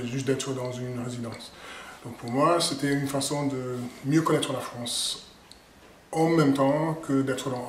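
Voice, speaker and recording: American man, halting French, echoey room. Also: mid-range voice. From United States. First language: English. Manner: halting, with frequent restarts and repeated words. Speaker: writer in residence. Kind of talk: casual self-introduction. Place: on a river barge. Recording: echoey room with faint ambient noise.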